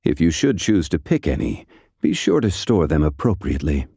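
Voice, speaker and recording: A man playing a composed, ancient, scholarly gentleman in a video game, speaking with measured, formal and deliberate diction. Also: very deep voice, sing-song, husky, no room sound, studio quality, exaggerated voice. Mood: calm, formal. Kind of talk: video game character voice lines.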